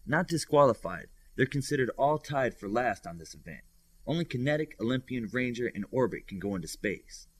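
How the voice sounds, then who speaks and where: A man's voice: medium pitch, lively intonation, a little fast, natural voice. A man voicing a young telepathic superhero girl who explains and reports things to her friends in an audiobook. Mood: informative. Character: telepathic superhero girl, informative, attentive student.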